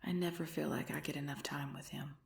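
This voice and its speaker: A woman playing an affectionate, sleepy girlfriend in an ASMR roleplay, whispering and murmuring softly with a breathy voice. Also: sits low, steady tone, a little fast, heavily acted. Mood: drowsy, tender.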